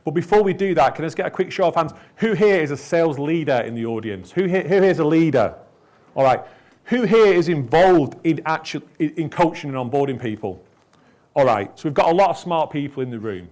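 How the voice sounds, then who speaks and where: A man's voice: a little high, animated, a little fast, darkish tone. Male moderator addressing a conference crowd. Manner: upbeat, addresses the audience directly. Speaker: panel moderator. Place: conference room with audience.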